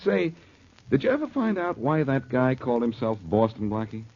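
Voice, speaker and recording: An actor playing a cocky, wisecracking amateur detective in a 1940s radio drama, needling and wry. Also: male voice, medium pitch, big pitch swings, normal speed. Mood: wry, teasing. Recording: dull lo-fi 1940s radio recording.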